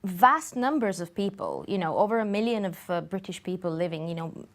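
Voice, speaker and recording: A woman speaks in a fairly low voice in a studio interview. She answers in a measured way and pauses often with fillers between her points. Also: very animated. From Bulgaria. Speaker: campaign group guest.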